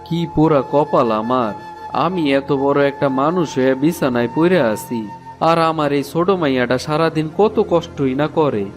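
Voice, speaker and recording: A man plays an ailing village father from an animated folk tale. He sounds sorrowful, doting and fretful in a rural eastern Bengali accent. The voice is fairly high for a man, over soft music. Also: normal speed, lightly acted. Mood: self-pitying. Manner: plaintive, fretful.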